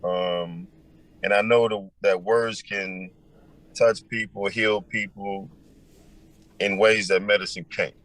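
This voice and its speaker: Man with a deep, powerful low voice; reflective interview guest, unhurried, searching for words. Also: fairly even intonation, fairly bright tone. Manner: thoughtful, pauses with many ums. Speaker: musician guest. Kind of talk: casual interview answers.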